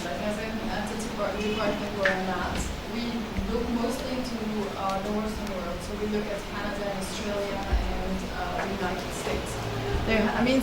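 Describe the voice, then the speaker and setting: A woman in her thirties, a lecturer answering audience questions in an earnest, explanatory way with many restarts. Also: mid-range voice. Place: seminar room with a panel table. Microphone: table mic on a stand. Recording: echoey room, distant and noisy.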